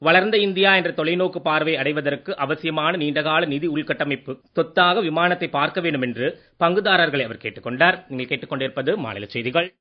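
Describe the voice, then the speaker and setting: A male newsreader with an Indian Tamil accent delivers a formal radio bulletin. His voice sits fairly high for a man. The recording is a little dull and lo-fi. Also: quick pace.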